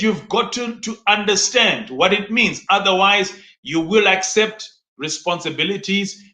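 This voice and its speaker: A man's voice: high voice, pushed and bright. A man preaching in an instructive, motivational style, speaking emphatically and often posing questions that he answers himself. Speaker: preacher and teacher. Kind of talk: motivational sermon teaching. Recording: echoey, roomy sound.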